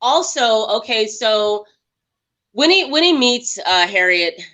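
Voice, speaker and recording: A woman guest on a movie podcast, retelling scenes with animated laughter. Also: fairly high pitch, slow pace. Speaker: guest actress. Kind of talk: casual movie review chat.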